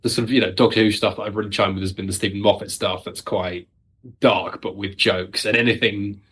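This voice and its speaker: A man's voice: on the low side, steady tone, a little fast, a bit bright, slight background noise. A British man chatting casually as an interview guest, self-deprecating and wry, talking as a writer.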